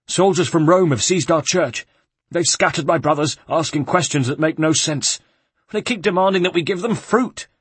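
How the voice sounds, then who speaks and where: A man voicing a terrified young monk who pleads and sobs in a high, strained voice. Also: moderate pace, fairly bright tone, breathy edge, no room sound. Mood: frightened. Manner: high, wailing and begging.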